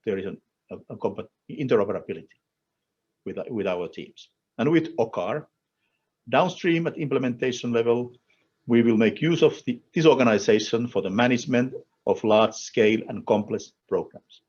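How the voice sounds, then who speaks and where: A man from Finland with a Finnish accent delivers a formal policy speech as an official, in deliberate, emphatic short phrases. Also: mid-range voice, darkish tone, husky, muffled recording. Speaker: European Commission official speaking at a conference.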